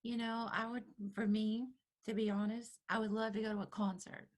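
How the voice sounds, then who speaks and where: A woman with a Texan accent, chatting lively and expressively as a real estate agent on an interview panel. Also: fairly high pitch. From upstate New York, raised in Texas. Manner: lively and expressive, quick to laugh. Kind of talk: casual interview chat.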